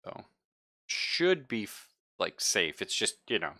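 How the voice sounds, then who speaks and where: A man acting as game master in a tabletop roleplaying game, describing things conversationally and haltingly as he thinks aloud. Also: fairly low pitch, big pitch swings, bright, forceful tone. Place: live-streamed game session.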